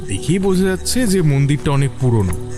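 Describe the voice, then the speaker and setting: A man's voice: fairly high pitch, big pitch swings, brisk, dark tone, soft background music. A man reading scripted narration for an audio story.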